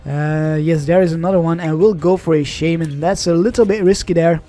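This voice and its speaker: A man's voice: on the high side, brisk, dark tone, soft background music. A young man whose first language is Dutch, casually commenting on an online card game in a chatty, rambling way, often correcting himself. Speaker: gaming channel host.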